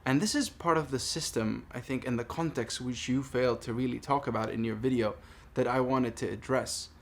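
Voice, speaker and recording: A man in his thirties from Palestine speaking in a personal monologue, earnest, deliberate and emphatic. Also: medium pitch, fairly even intonation, a little hiss or hum. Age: 30s. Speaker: vlogger making a response video. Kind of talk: personal monologue addressed to camera.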